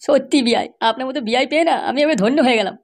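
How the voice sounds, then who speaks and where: Man playing a high-voiced blustering old village father. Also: fast. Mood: effusive. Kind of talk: comedy cartoon dialogue.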